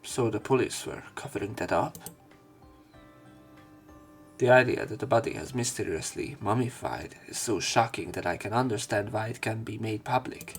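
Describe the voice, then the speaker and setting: A man with a fairly low voice reads visual novel text aloud for a let's play. He drops in casual comments and corrects himself on tricky words, with game music playing behind him. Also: moderate pace, gravelly.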